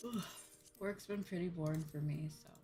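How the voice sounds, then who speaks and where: Low-pitched woman streamer, casual and rambling. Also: moderate pace, mellow, faint music behind. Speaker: livestreamer and host. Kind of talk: casual game livestream chat to viewers.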